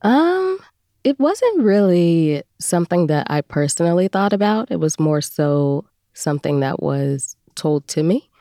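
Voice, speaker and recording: A woman's voice: lowish voice, sing-song, unhurried, dark tone, no room sound, studio quality. A woman speaking casually as an interview guest, a voice performer telling her story.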